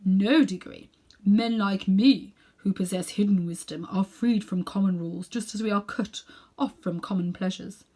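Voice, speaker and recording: Woman acting middle-aged gentleman magician, pompous, condescending, sinister, self-important, drawn-out phrasing, storybook villain. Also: mid-range voice, lightly acted. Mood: pompous, lofty. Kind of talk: children's storybook character reading.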